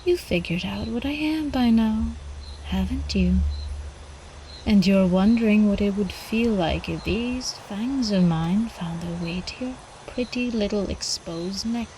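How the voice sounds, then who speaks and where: Woman acting as predatory vampire countess, slow delivery, soft mellow tone, doting yet menacing, whispery ASMR roleplay. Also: medium pitch, a little hiss or hum, close to an everyday voice, low-quality recording. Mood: hungry, amused. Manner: slow, teasing, cooing, often dropping into whispers. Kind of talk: ASMR roleplay, intimate scripted monologue.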